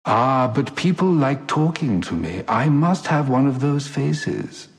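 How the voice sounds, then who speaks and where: Swooping, suave cowardly rogue. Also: male voice, medium pitch, animated, slow. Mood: coy, glib. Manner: florid, coy, theatrically polite. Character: suave, cowardly gentleman rogue, glib and mock-gallant. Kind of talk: video game character acting. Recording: long hall reverb.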